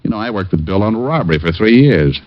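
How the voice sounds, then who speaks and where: A man's voice: fairly low pitch, expressive, fast talker, no room sound, close to an everyday voice. An actor playing a wisecracking male private detective in an old radio drama, trading dry sarcastic quips. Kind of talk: 1950s radio drama acting and narration. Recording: dull lo-fi old radio recording.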